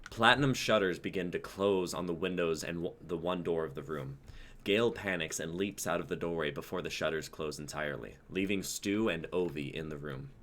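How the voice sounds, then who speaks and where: A young male narrator with a deep voice. He reads scripted, dramatic scene description, recorded with a little background noise. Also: fairly quick, a bit bright. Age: young adult.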